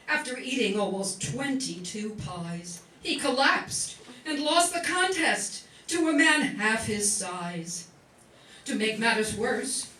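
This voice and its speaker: A woman's voice: on the high side, pushed and bright, full character voice. A woman acting a regretful wife giving a testimonial in an old-time style radio commercial. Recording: roomy, distant, noisy live recording.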